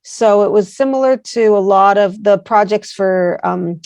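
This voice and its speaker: A woman's voice: mid-range voice, measured pace, darkish tone, no room sound. A woman with an American accent, answering interview questions earnestly, with many fillers and rambling asides. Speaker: guest, placemaking founder.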